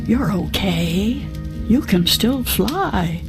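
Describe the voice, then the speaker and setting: An old, wise woman tells her tale slowly and gently, lingering over her words. Clear music plays beneath her voice. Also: mid-range voice, animated. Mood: gentle. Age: older. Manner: gentle, slow storytelling. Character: elderly wise woman storyteller, frail legs. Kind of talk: video game narration.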